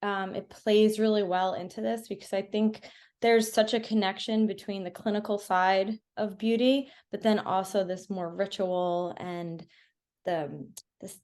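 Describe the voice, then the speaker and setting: A woman's voice: mid-range voice, darkish tone. A woman hosting a chat show, talking casually and enthusiastically with her guest. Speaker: interview show host.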